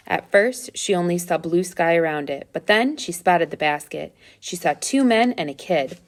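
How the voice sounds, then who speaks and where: A woman's voice: fairly low pitch, expressive, normal speed. A woman reading a children's adventure story aloud as scripted narration. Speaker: storybook reader.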